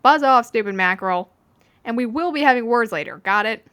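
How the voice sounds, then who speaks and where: A woman voicing a brash but gruffly fond mafioso, brusque and wry, in a fan story. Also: on the high side, animated, fairly quick, a bit bright. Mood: annoyed.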